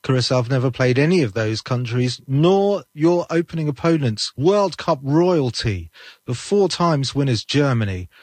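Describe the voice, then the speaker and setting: Man, sports reporter, measured questions, dry close sound. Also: mid-range voice, animated, darkish tone, husky, no room sound. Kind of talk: sports interview.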